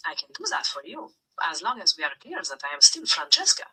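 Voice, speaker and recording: A woman's voice: on the low side, very animated, fast, sharp tone, slightly rough, low-quality recording. A synthetic woman's voice of an AI chatbot, replying in a polite, stern and formal way. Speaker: AI chatbot persona. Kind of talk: formal scripted replies.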